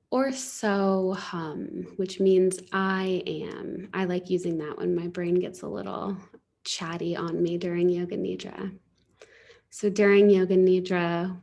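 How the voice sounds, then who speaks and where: A woman with a very mellow, relaxed voice leads a guided meditation as a yoga teacher. She speaks very slowly and soothingly, with a slightly low pitch. Her instructions are unhurried.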